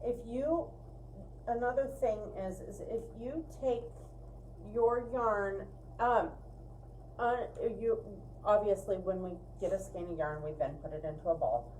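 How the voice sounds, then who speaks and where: Woman chatting casually through a craft lesson, mellow voice, chatty and emphatic, some hum and noise behind. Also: medium pitch, unhurried, rough recording. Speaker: co-host of a fiber arts livestream. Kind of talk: casual spinning tutorial chat.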